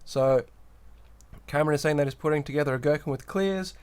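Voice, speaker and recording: A man's voice: a little high, brisk, darkish tone, clear, resonant tone. A man hosting a livestream with a cold and sore throat, sounding nasal as he chats casually, greets viewers and reads out the chat while he builds a kit. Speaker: livestream host.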